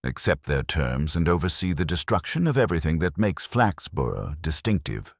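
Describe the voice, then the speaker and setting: A man acts out a small-town mayor in an audio mystery, torn and guarded. The voice is very low and formal, choosing each diplomatic phrase with care. It sounds dry and close. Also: big pitch swings, normal speed, gravelly, dry recording, full character voice. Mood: defensive, weary. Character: conflicted male small-town mayor, careful and defensive.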